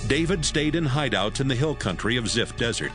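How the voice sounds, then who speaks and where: A man's voice: medium pitch, lively intonation, brisk, music in the background. A man giving scripted narration of a Bible story as the narrator.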